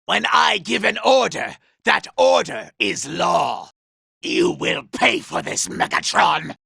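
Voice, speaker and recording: A male actor playing a vain, scheming, power-hungry robot commander in a video game, imperious and theatrical, with a raspy, gravelly voice. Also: sits high, animated, slow, pushed and bright, no echo, clean studio sound, exaggerated voice. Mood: outraged. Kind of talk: video game villain voice acting.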